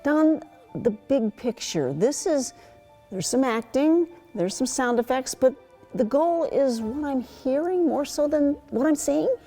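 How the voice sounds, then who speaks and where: A woman's voice: sits high, lively intonation, unhurried, warm tone, faint music behind. A woman hosting a feature interview, putting questions to her guests. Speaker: interviewer, host.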